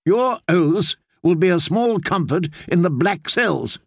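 Audiobook figure: scornful commanding queen, man reading her, unhurried, cutting, close dry booth. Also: sits high, animated, creaky, husky, no echo, voice pushed up, exaggerated voice. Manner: cutting, mocking, interrogating. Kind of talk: audiobook character voice.

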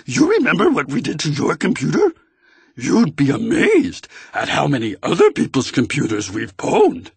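A man voicing a male text-to-speech phone voice that sounds like Yoda, croaky and menacing. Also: on the high side, wildly swooping pitch, moderate pace, no echo, voice pushed up, exaggerated voice. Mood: smug. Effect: phone call.

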